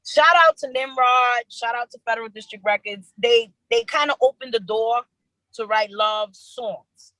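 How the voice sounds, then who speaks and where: Young new york woman, bright higher voice, earnest storytelling, dry close mic. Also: normal speed, no room sound, poor audio. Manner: earnest, animated storytelling with repeated restarts. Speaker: rapper guest. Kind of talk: casual interview chat.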